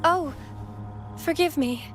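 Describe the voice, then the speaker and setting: Devout polite young game girl, high voice, music behind. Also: slow. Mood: earnest. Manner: polite, gentle, formal. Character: earnest, devout young woman of a religious order. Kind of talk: video game voice acting.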